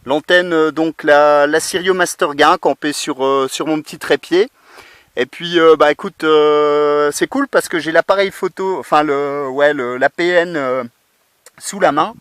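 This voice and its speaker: A man's voice: fairly high pitch, unhurried, fairly bright tone, clean tone, dry recording. A man chatting casually and hesitantly as an amateur radio operator making contact from a portable outdoor station.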